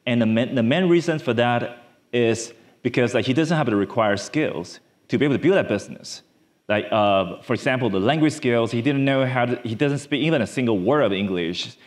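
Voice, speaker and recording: A man from Taiwan, giving a conference talk from a large stage on a lapel mic, halting with many fillers and restarts. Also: on the low side. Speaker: startup founder speaker.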